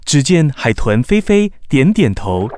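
A man narrating a scripted children's story in an expressive storyteller's way. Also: medium pitch, measured pace, dry recording, clean studio sound. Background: music.

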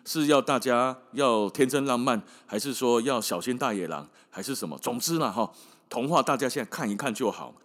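Animated Taiwanese Mandarin man, podcast storyteller. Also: medium pitch, a little fast. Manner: colloquial, wry, emphatic, with rhetorical questions and tag questions. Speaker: podcast host. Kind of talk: casual storytelling monologue.

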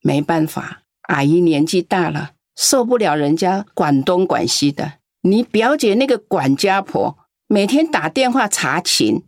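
A woman's voice: medium pitch, no echo, studio quality. An actress playing a lonely, doting elderly grandmother in a radio drama. She talks in a coaxing, chatty way and coughs now and then. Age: older.

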